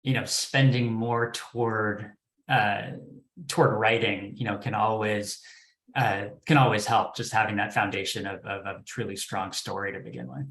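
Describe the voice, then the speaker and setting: A man's voice: fairly low pitch, fairly even intonation, normal speed, a bit bright. A man speaking unscripted as a panelist, hesitant and repeating words as he thinks, recorded in an echoing room. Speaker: podcast development executive on a panel. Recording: roomy, echoing sound.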